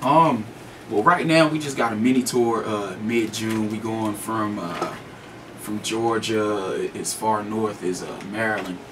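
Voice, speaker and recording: A man's voice: on the low side, steady tone, a little slow, far from the mic. An American man, a guest hip-hop artist, talking in a slangy, informal, rambling way in a casual radio interview. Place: radio studio. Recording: noisy studio, off the mic.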